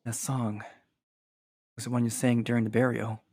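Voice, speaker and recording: A man's voice: medium pitch, level tone, normal speed, darkish tone, breathy edge. Man playing an earnest, self-doubting young heir. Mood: apologetic. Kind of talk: dramatic game-script acting. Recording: music behind the voice.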